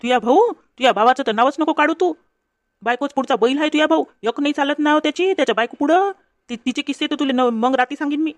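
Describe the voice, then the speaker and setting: A woman voicing a grumbling, sharp-tongued village mother-in-law in a comedy cartoon, with a Varhadi Marathi accent. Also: high voice, fast talker, dry recording, lightly acted. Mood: gossipy, resentful. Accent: Varhadi Marathi from Vidarbha. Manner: griping, gossipy, insulting. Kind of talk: comedy cartoon dialogue in rural dialect.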